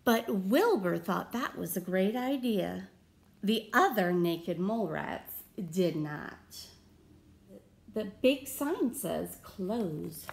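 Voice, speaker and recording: A woman in her thirties reading a picture book aloud to children, with deliberate theatrical emphasis and questions to her listeners. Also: mid-range voice, creaky. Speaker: read-aloud storyteller. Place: small room at home.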